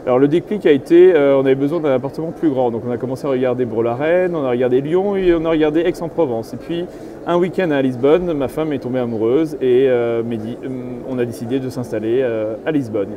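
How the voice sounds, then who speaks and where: A man's voice: mid-range voice, fast talker. A middle-aged man giving spontaneous interview answers as a property consultant, explaining things step by step. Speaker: real estate agent and consultant being interviewed. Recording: clear urban background noise, trade fair interview.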